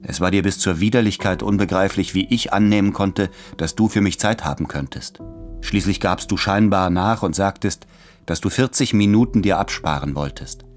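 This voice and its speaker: An actor plays a needy, anxious man in a literary radio drama. His voice is low, and he confesses his dread in a brooding manner. Music runs clearly underneath. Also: normal speed, lightly acted. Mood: brooding, desperate. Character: anxious, fearful, needy man in love, tormented writer.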